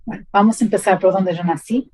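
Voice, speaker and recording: A woman's voice: medium pitch, fast talker, a crowd in the background, rough recording. A woman in her forties from New Jersey, Portuguese-American accent, answering interview questions with hesitant fillers and restarts. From United States (New Jersey). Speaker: guest, pharma executive.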